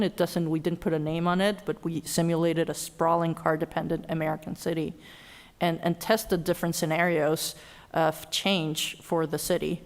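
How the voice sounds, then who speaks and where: A woman researcher on a panel, explaining findings carefully and thinking aloud as she goes. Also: sits low. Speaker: public health researcher on a panel. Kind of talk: panel discussion. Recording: long reverb of a hall.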